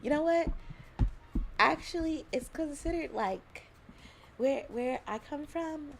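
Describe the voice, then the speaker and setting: A woman's voice: high-pitched, slow, creaky, over music. A young adult woman from Alabama chatting casually on a livestream, giggling often and rambling between thoughts. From Alabama, US South. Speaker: streamer.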